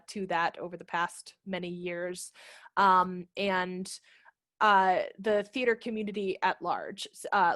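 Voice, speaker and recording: A woman's voice: mid-range voice, fairly bright tone, no room sound, studio quality. A woman in her thirties explaining things casually as an interview guest on a video call, speaking into a headset mic. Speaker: guest, theatre company managing director. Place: living room at home, video call. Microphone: headset microphone.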